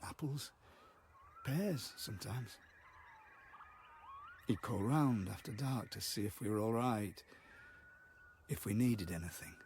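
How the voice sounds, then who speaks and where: An actor playing a haunted, halting man who recalls a wartime childhood in a radio drama. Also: fairly low pitch, a little mellow, a little hiss or hum. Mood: grave, remembering. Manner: halting, fragmented, hesitant delivery.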